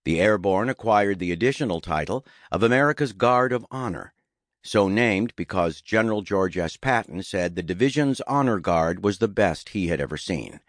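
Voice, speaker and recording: A man reading a nonfiction audiobook in a measured, deliberate way, as a scripted narration. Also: sits low, expressive, no echo, studio quality. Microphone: close studio microphone.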